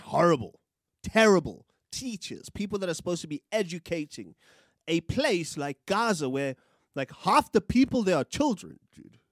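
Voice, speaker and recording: A young man with a South African accent talks fast and heated about the news. His voice sits high and leaps around a lot. He curses freely, and the studio sound is close and dry. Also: dry recording. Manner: animated, profane, gesturing with his hands. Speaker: podcast co-host. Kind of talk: opinionated news commentary. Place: small studio room. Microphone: studio mic on a boom, with headphones.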